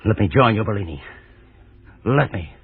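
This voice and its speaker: Husky, power-hungry accomplice, lowish voice. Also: male voice, animated, exaggerated voice. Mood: pleading. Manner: halting, repetitive, intense. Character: greedy, power-hungry male accomplice, agitated and pleading. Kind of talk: old-time radio horror acting. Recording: dull vintage radio broadcast.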